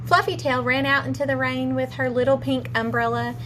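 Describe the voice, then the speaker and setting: High-voiced woman reading a children's story. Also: little pitch movement, brisk, noisy background. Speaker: teacher reading a story aloud.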